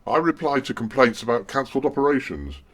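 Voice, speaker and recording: A man's voice: medium pitch, sing-song, quick pace, husky, pitched below the performer's own voice. A man acting a flustered, hesitant wartime complaints clerk in radio play dialogue. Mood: dry grumbling.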